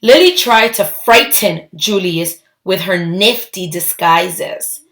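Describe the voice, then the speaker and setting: A woman reading a children's story aloud, expressive and emphatic, with deliberate phrasing. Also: on the low side.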